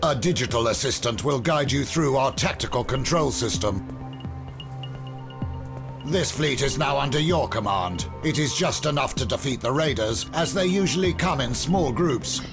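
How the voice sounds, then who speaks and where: An actor playing a stern, weary older fleet commander in a video game, solemn and weighty in delivery. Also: male voice, mid-range voice, lively intonation, moderate pace, lightly acted. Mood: commanding. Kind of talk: scripted video game acting. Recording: loud music under the voice.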